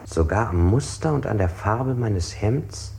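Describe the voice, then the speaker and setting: Over slight noise, a man's lowish voice gives the uneasy account of someone under interrogation. He is precise and deliberate, in the monologue style of sixties radio drama. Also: expressive, creaky, dark tone. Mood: uneasy, brooding. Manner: deliberate, self-correcting, precise. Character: anxious interrogated man recounting, constantly correcting himself. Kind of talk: 1960s German radio play monologue.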